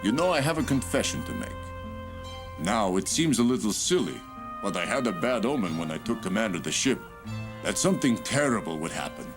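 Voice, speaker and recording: Solemn starship captain, courteous and formal, widely inflected cartoon drama delivery over music. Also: male voice, lowish voice, sing-song, brisk. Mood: reflective. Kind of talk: dramatic animated science fiction dub acting.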